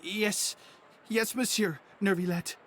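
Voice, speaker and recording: A man's voice: high-pitched, steady tone, slow, fairly bright tone, slight background noise. An actor playing a timid, deferential male underling in a video game, hesitant and stammering. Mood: nervous. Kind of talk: game character acting.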